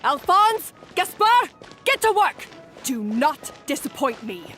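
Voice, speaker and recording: An actress playing an imperious, demanding head chef in a video game, commanding and stern. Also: female voice, high-pitched, very animated, slow pace, fairly bright tone, soft background music, heavily acted.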